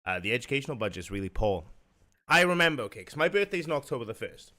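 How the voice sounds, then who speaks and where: A man's voice: medium pitch, sing-song, quick pace, sharp tone. A young man with a South Welsh accent, hosting a live stream and chatting in a rambling way, swearing and restarting often. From South Wales. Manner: rambling, swears a lot, many fillers and restarts. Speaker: stream host. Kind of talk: casual chat on a live stream.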